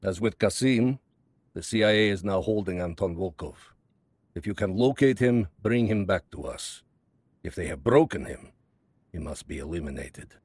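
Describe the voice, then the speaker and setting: An actor playing a calm, ruthless Soviet spymaster, speaking slowly and with cold confidence. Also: male voice, low-pitched, breathy edge, no echo. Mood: cold, commanding. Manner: slow, measured, coldly confident.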